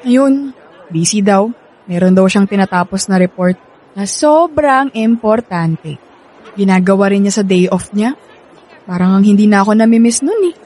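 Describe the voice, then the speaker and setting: A woman's voice: medium pitch, expressive, normal speed, dark tone, lightly acted, rough recording. An actress playing a sassy, guarded young woman in a radio drama, teasing and brusque in manner. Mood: casual, wistful. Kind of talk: Filipino radio drama acting.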